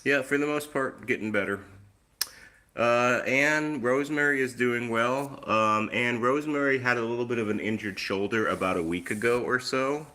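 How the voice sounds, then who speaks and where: A man's voice: medium pitch, moderate pace, bright, forceful tone. A man hosting a casual live stream on his phone, chatty and easygoing, with a lingering cough that leaves his voice a little off. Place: outdoors, then indoors at home.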